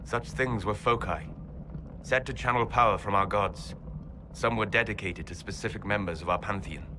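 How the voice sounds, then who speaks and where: A man playing a scholarly, composed elven mage in a game cutscene, speaking with grave, measured, lyrical phrasing. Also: fairly low pitch, background noise, natural voice. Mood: grave, thoughtful.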